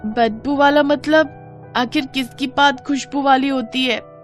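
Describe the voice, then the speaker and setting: A woman voicing a greedy, shameless, self-pleased female schoolteacher in an animated moral story, with a brazen, gleeful, drawn-out delivery. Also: high-pitched, faint music behind, pitched above the performer's own voice.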